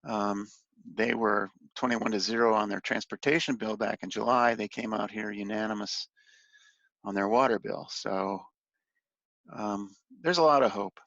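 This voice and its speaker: A man giving an informal slide briefing as a policy advocate on a conference call, speaking haltingly and letting phrases trail off. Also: fairly low pitch, fairly even intonation, gravelly, a bit bright. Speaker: industry association policy advocate briefing on legislation. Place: conference call, roomy indoor space. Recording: muffled, roomy conference-call audio.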